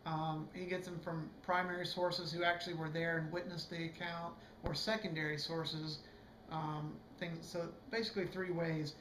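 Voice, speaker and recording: A hoarse man giving a casual, rambling video book review, full of fillers and restarts. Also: fairly high pitch, little pitch movement, normal speed, roomy sound, background noise.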